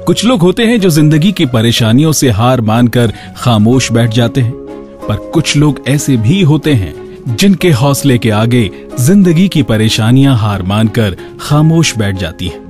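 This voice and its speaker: A man's voice: medium pitch, expressive, measured pace, over music. A man hosting a radio show with scripted narration.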